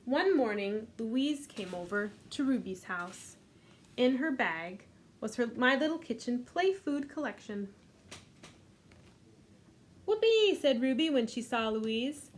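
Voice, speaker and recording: A woman librarian leading a children's storytime, reading aloud gently and unhurriedly with a few fillers. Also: a little high, animated, measured pace, slight background noise.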